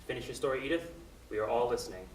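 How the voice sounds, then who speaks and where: A man's voice: medium pitch, expressive, very fast, close to an everyday voice. A man in a student radio play acting an earnest, urgent radio station host. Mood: careful, calming. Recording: echoey distant room, noisy ambience.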